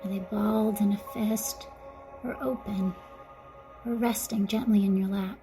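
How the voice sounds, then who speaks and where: A woman guiding a mindfulness meditation in a gentle, soothing, softly spoken way, with breathy phrase endings. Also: mid-range voice, fairly even intonation, a little slow, faint music behind. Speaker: meditation guide. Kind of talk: guided mindfulness meditation narration.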